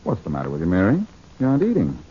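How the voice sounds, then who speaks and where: Teasing easygoing hero in old radio serial, lowish swinging voice, muffled. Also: male voice, huge pitch swings, rapid-fire. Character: breezy, wisecracking male adventurer, easygoing and teasing. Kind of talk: 1940s radio acting. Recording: muffled, noisy 1940s radio recording.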